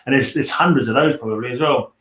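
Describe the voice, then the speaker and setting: A man's voice: medium pitch, fast, fairly bright tone. A man hosting a casual livestream chat, talking in an informal, rambling way. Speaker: host of a livestream read-along. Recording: roomy, echoey sound.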